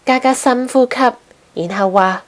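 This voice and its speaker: A woman's voice: a little high, moderate pace. A woman narrating a scripted children's story as a storyteller.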